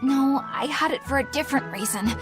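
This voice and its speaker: A woman's voice: high-pitched, fairly quick, music in the background, lightly acted. An actress playing a prim, principled young woman warrior in a video game, formal and easily exasperated. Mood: explaining, proper. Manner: proper, formal, indignant. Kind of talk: English video game voice acting.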